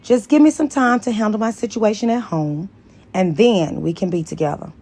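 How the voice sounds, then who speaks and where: A woman's voice: medium pitch, normal speed, mellow, natural voice. Woman putting on a pleading, smitten male lover. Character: pleading married man, smitten, persistent lover. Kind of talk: dramatized audiobook dialogue.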